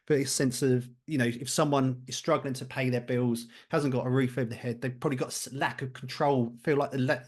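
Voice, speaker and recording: A man's voice: mid-range voice, breathy edge. A man talking candidly and informally as a podcast guest on mental health, with a blunt way of putting things. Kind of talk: casual, candid podcast conversation.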